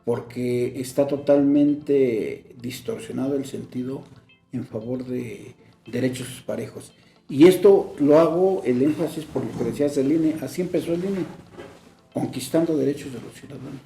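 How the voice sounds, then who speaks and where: Gravelly dark-voiced mexican lawmaker talking politics, echoey room. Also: male voice, medium pitch, moderate pace, faint music behind. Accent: Mexican Spanish. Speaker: local legislator as guest. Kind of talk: political conversation. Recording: roomy echo.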